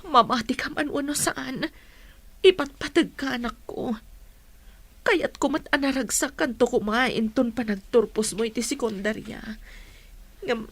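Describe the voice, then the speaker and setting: A woman's voice: high voice, measured pace. An actress playing a sorrowful, apologetic village mother in an Ilocano radio drama, speaking with an Ilocano accent. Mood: sorrowful, pleading.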